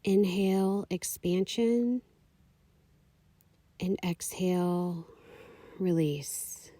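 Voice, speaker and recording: Slow, mellow woman guiding meditation. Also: on the low side, no room sound. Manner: calm, soothing, unhurried instruction. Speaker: meditation guide. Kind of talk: guided meditation, slow scripted narration.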